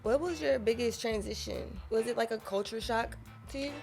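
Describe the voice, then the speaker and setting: Upbeat higher-voiced woman host, gravelly. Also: normal speed, a little mellow, soft background music. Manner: upbeat, short encouraging replies. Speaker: podcast host. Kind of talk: interview questions.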